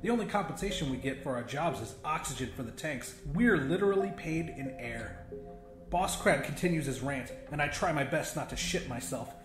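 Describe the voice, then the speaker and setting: Guy reading a horror comedy audiobook, kinda high voice, conversational n sweary, echoey with music behind. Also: lively intonation, normal speed. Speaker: audiobook narrator. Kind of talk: scripted first-person horror-comedy narration. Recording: roomy echo with music behind.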